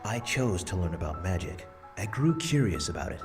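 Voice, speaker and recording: A man playing the kindly, proud spirit of a grandfather in a visual novel, gentle and earnest. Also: sits low, big pitch swings, normal speed, music in the background. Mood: earnest, regretful. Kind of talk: visual novel character dialogue.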